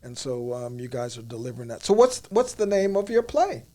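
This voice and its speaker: Mellow-voiced man co-host. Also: medium pitch, big pitch swings, a little fast. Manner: hesitant, many fillers, encouraging. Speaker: talk show co-host. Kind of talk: casual studio interview. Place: studio.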